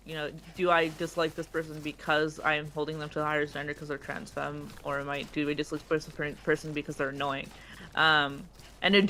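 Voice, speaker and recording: A co-host who speaks English as a second language, rambling with many restarts in casual stream chat. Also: female voice, deep voice, pushed and bright, slight background noise. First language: not English.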